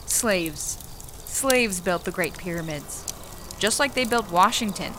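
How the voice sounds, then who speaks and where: Bright-voiced, sardonic young American woman. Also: lowish voice, animated, slow, a little hiss or hum. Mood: bitter, impassioned. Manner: wry, argumentative, casual. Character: cynical, sardonic young female warehouse picker. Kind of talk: naturalistic audio drama acting.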